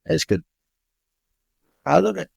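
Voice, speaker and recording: A man's voice: a little high, wildly swooping pitch, takes it slowly, vocal fry, a little mellow, husky, dry recording, clean studio sound. A young man speaking as a guest boxer in a podcast interview, talking openly and frankly, often starting with an honest aside. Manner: open, frank, chatty, restarts with "I'll be honest".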